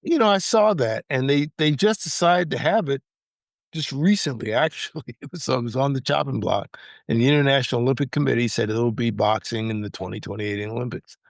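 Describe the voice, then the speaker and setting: A man's voice: medium pitch, fairly quick, gravelly, dry recording, studio quality. An American man co-hosting a podcast in a casual, chatty style. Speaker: podcast co-host. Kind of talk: casual chat.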